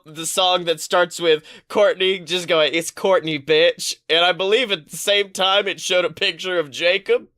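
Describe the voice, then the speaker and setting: A man co-hosting a podcast, chatting casually in an animated, rambling, profane storytelling manner. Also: high-pitched. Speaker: podcast co-host. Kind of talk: casual pop-culture chat.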